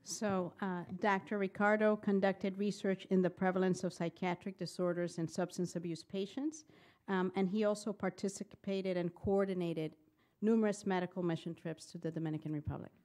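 A woman's voice: medium pitch, fairly even intonation, normal speed, a little mellow. A woman with a mid-range voice addresses a public meeting as a residency program presenter. She introduces colleagues in a semi-formal spoken style. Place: public board meeting room.

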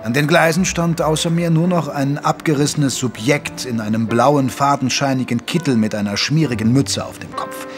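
Casting: retired old military doctor male actor, mid-pitched, genteel storyteller for radio drama, faint music beneath. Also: close to an everyday voice. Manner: measured, genteel, reminiscing. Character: retired old army doctor, dutiful, reflective male narrator. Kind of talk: scripted first-person radio play narration in German.

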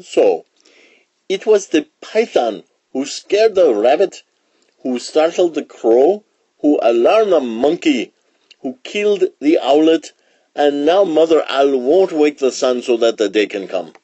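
A commanding lion king passing judgment in an animal folktale, acted by a male storyteller. He declaims slowly and grandly, with sweeping pitch. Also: sing-song, dark tone, breathy edge, dry recording, lo-fi sound, exaggerated voice. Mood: proclaiming. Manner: declamatory, deliberate, building through lists.

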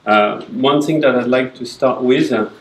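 A middle-aged man giving a relaxed classroom lecture, hesitant with many fillers, recorded by a camera at a distance. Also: mid-range voice, measured pace, reverberant. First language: not Norwegian. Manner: hesitant, many "uh" fillers, gestures while talking. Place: classroom with projector screen. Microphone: far camera.

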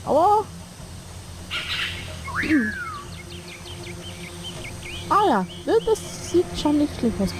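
A woman's voice: sits high, huge pitch swings, drawn-out words, long pauses. A woman casually commenting on an online game she is playing, a bit sick and short of breath, rambling and correcting herself with jokes. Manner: rambling, self-correcting, joking. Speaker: gameplay streamer. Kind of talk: casual let's play commentary. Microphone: desk microphone in a new recording room. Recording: voice over loud game music.

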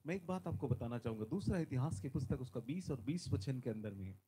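A man's voice: mid-range voice, animated, brisk, slight background noise. A man in his forties, a visiting preacher giving a sermon with prayer on a large conference stage into a handheld mic, fervent and emphatic, often calling out hallelujah. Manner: fervent, repeats hallelujah, loud emphatic bursts. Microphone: handheld microphone.

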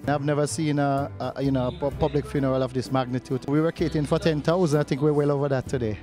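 A man's voice: mid-range voice, steady tone, quick pace, warm tone, faint music behind. A man giving an unscripted interview as an event organiser.

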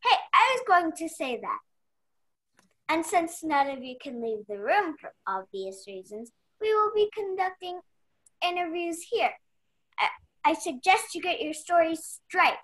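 Young woman as a high-voiced bossy investigator. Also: animated, a little slow, fairly bright tone, heavily acted. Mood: officious. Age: young adult. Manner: officious, formal. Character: brisk, bossy investigator questioning suspects. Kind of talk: stage-style mystery radio play acting.

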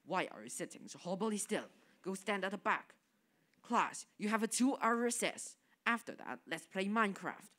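A woman's voice: medium pitch, very animated, moderate pace, fairly bright tone. A young performer voicing a strict, scolding male schoolteacher in a school radio drama. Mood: odd.

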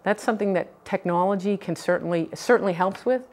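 A woman's voice: medium pitch, fairly quick, a little mellow. Earnest middle-aged woman candidate. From Boston. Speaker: political candidate. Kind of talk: TV interview answers. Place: TV news studio. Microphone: lapel mic.

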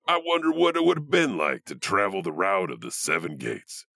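A man acts out a creepy janitor hosting an audio horror anthology. His voice is gravelly and forceful, swinging widely in pitch on the low side. He muses theatrically, with a wry, playful edge. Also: huge pitch swings, moderate pace, heavily acted. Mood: wry, eerie. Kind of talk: horror anthology host narration.

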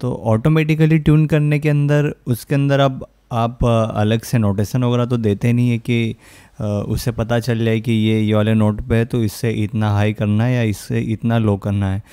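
A man talks a learner through music software in an informal tutorial. His voice is smooth and clean with a soft, mellow tone. He explains step by step, in a close, dry recording. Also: medium pitch, level tone, normal speed, no echo. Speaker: music production tutorial host. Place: close, dry indoor voice-over.

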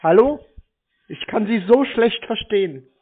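A man with a high voice speaks over a narrow, tinny line. He stays deadpan and plays confused, as someone does when stringing a caller along. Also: a little slow. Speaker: prank call recipient baiting telephone scammers. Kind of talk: prank phone call.

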